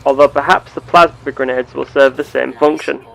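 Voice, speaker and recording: A man voicing a curious, earnest space explorer with measured, formal, theatrical phrasing, narrating a sci-fi game roleplay. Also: mid-range voice, steady tone, creaky, a bit bright, soft background music. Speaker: gameplay host.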